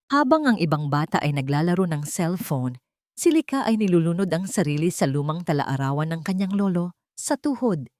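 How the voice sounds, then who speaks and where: A woman's voice: on the low side, mellow, dry recording, studio quality. A woman reading a scripted dramatic story as its narrator. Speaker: narrator of a Tagalog love story.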